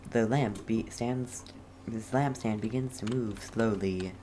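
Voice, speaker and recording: Very low-voiced woman, slow gameplay commentary. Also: dark tone, slight background noise. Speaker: gameplay streamer. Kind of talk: casual game playthrough commentary, reading dialogue aloud.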